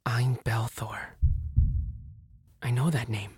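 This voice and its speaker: A man acts out a curious scholar in a fantasy audio drama, talking to himself at an unhurried pace. His reactions are expressive and dramatic, and the sound is dry and close. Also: mid-range voice, breathy edge, no room sound. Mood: hushed, solemn. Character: curious, bookish male scholar muttering to himself.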